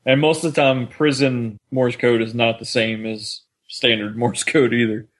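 A man's voice: mid-range voice, steady tone, normal speed. A man talking casually as a podcast co-host, discussing a story with his partner. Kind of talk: casual discussion of an audio drama.

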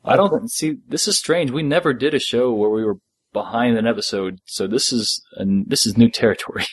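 A man's voice: medium pitch, brisk, breathy edge, dry recording. A middle-aged man chatting casually as a podcast co-host, laid-back and appreciative, sometimes reading out listener messages.

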